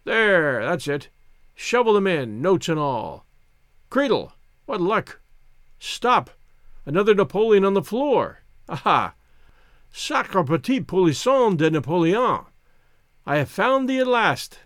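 An old French grenadier, acted out by a male narrator in a storybook tale, grandiloquent and flattering. His voice sits high and swings widely, heard close and dry. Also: slow, long pauses, no room sound, exaggerated voice. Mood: boisterous, flattering. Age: older. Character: effusive old French soldier, flattering, theatrical, wily.

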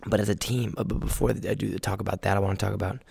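A young man, a college volleyball player, talking casually in a vlog recap, his voice hoarse and raspy from a road trip. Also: lowish voice, steady tone, racing, darkish tone, dry recording, clean studio sound. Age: young adult. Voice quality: raspy, gravelly.

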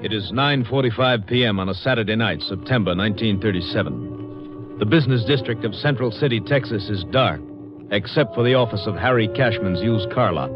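A man's voice: fairly low pitch, a little fast. A man narrating a 1950s radio drama in a scripted, scene-setting dramatic style. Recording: muffled vintage radio recording. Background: music.

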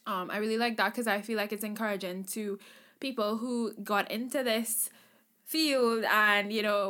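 A woman co-hosting a podcast, talking in a relaxed, chatty way. Her voice is bright and forceful at a middle pitch. She is animated and encouraging, and she laughs often. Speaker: podcast co-host. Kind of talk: casual chat.

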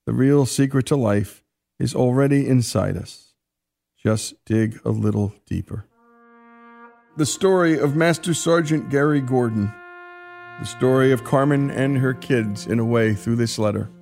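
An American man hosting a radio show, narrating reflectively in a halting, solemn manner. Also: medium pitch, vocal fry, a little mellow, soft background music.

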